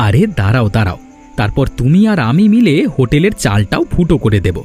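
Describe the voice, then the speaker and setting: A man's voice: medium pitch, very animated, fast, darkish tone, lightly acted. A man voicing a spiteful, scheming villager in a cartoon fairy tale, with a grumbling, conniving manner. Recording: music behind the voice.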